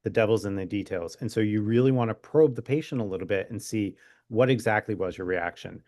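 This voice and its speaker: A man with a fairly low voice talks as a medical expert in an interview. He explains in a conversational, informal way with a wry streak, and the recording is dry with very little room sound. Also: a little fast, a little mellow, no echo. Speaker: physician guest expert.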